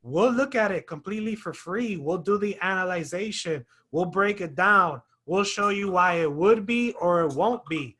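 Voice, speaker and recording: A man's voice: high voice, expressive, moderate pace, hardly any pauses, sharp tone. A man hosting a real estate webinar, talking emphatically and persuasively. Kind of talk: webinar conversation.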